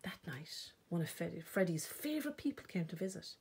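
An Irish woman reading a children's picture book aloud expressively, with dramatic pauses and emphasis. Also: lowish voice, big pitch swings, very noisy. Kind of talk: storytime read-aloud narration.

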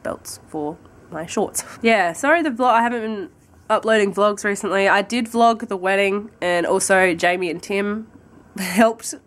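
A young Australian woman in her twenties with a husky voice from a cold. She chats casually to a handheld camera at home and rambles through her plans. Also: mid-range voice, measured pace. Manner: chatty and rambling, with light laughs. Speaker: vlogger. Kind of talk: casual vlog chat to camera. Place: at home, indoors, by a window. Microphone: handheld camera close to the face.

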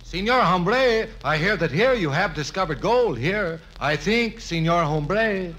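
Sly, formal officer-interpreter, high pitch, noisy vintage radio comedy sound. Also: male voice, expressive, measured pace, highs cut off. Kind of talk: 1950s radio comedy acting.

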